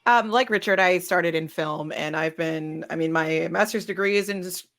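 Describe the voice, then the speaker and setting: A woman's voice: fairly low pitch, normal speed, a bit bright. A woman speaking casually and off the cuff as a filmmaker on a panel.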